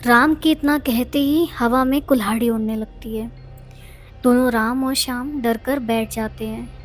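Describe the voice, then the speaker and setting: A woman's voice: a little high, fairly even intonation, unhurried. A woman narrating a scripted story as a storyteller. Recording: music behind the voice.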